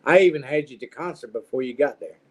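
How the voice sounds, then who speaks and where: A man speaking casually as the host of a relaxed conversational show. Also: medium pitch, fast talker. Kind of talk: casual chat.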